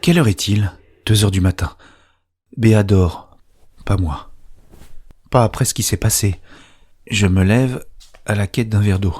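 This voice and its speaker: A man acting as a troubled, sleepless man with a lowish voice. He narrates a science-fiction audio drama in the first person, brooding in short, clipped sentences and distrustful of the technology around him. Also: darkish tone, slightly rough. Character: Abel, shaken sleepless man distrustful of a soulless tech system. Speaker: narrator and main character.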